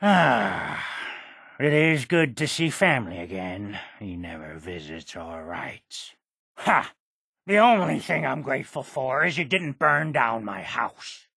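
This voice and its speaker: An actor playing a stubborn, ornery old hermit uncle with a gravelly, raspy voice in a video game. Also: male voice, medium pitch, sing-song, slow pace, sharp tone, no echo, clean studio sound, full character voice. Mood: wry. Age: elderly. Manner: ornery, sarcastic, indignant. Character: stubborn, cantankerous old hermit uncle guarding his homestead. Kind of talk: video game character dialogue.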